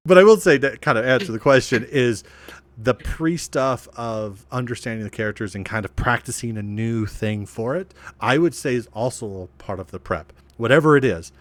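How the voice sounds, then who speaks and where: A man co-hosting a casual podcast, animated and self-deprecating, who restarts his sentences a lot. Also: mid-range voice, normal speed. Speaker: podcast co-host and game master.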